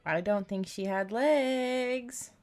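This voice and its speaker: A woman's voice: a little high, slow pace, a little mellow, faint music behind. A woman streaming a game with casual, amused live commentary and giggly asides.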